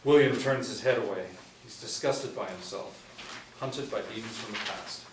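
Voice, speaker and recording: A man in his forties reads script narration in a low voice. The recording is roomy and a little distant. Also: moderate pace. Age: 40s. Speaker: narrator of stage directions. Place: small room indoors. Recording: roomy, distant mic, faint noise.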